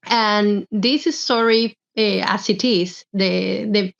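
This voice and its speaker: Slow-speaking woman on a dull call line. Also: mid-range voice, creaky. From Cuba. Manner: halting, broken English. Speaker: singer and filmmaker. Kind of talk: casual panel talk. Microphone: video call.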